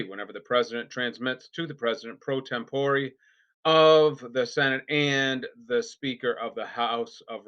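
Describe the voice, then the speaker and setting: A man with a crisp, forceful voice reads a formal document aloud. His delivery is deliberate and unrehearsed, breaking into halting phrases with emphatic openings. The recording is somewhat dull and lo-fi. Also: medium pitch. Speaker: reader.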